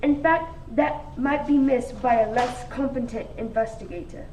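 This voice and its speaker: A woman's voice: sits high, moderate pace, a little mellow, natural voice. A woman playing a self-important, crooked police inspector in a comic radio mystery, declaiming pompously. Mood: pompous, indignant. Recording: echoey room, distant mic, faint noise.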